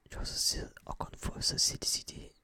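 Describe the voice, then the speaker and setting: Whispering young Frenchman, close and breathy. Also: a little fast, pushed and bright. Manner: casual, slangy, rambling. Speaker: ASMR video creator. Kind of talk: whispered ASMR film review. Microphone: close binaural microphone.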